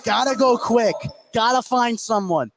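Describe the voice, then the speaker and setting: A man's voice: very high-pitched, unhurried, creaky, soft background music. A man giving conversational color commentary on a live basketball game. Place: courtside in a gymnasium. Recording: gym crowd noise behind voice. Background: game crowd.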